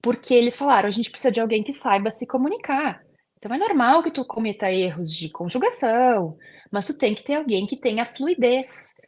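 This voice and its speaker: A woman's voice: on the high side, animated, fairly quick. A woman, a guest dentist talking earnestly in an interview, often using fillers. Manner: earnest, many fillers like "assim". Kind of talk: casual interview chat.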